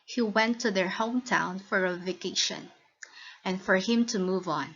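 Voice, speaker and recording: A woman with a Filipino accent narrating a scripted lesson as a teacher, in a deliberate, instructional manner. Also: medium pitch, a bit bright. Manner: deliberate, instructional, checks with listeners. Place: recorded voice-over for slide lesson. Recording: dull, lo-fi voice recording.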